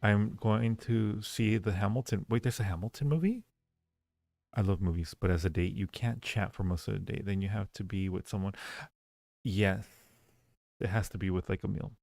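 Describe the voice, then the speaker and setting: Low, creaky-voiced man chatting on stream. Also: normal speed, darkish tone, dry recording. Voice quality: low-pitched, gravelly creak. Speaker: livestream host reading viewer chat. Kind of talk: casual livestream chat with viewers.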